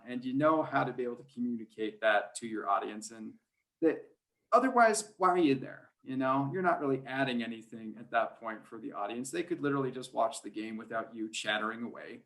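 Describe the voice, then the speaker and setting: A man's voice: medium pitch, lively intonation, a little fast, a bit bright, poor audio. Chatty man in a casual interview. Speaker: guest, sports play-by-play announcer.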